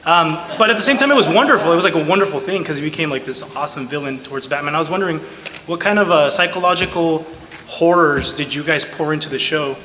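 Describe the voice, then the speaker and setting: A man asking a rambling, halting question from the audience at a panel. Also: fairly high pitch. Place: large convention hall. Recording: echoey hall, clear noise.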